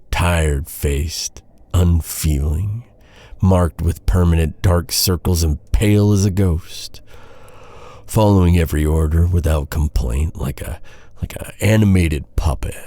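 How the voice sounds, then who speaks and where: Bitter knight, played by a man, fantasy audio drama: slow, brooding delivery with dramatic swings in pitch, gravelly, menacing. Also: mellow, no room sound, full character voice. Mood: frustrated, accusing. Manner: taunting, brooding, dramatic with heavy sighs. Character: bitter vengeful male knight guarding a captive royal. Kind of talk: immersive dark fantasy ASMR roleplay acting.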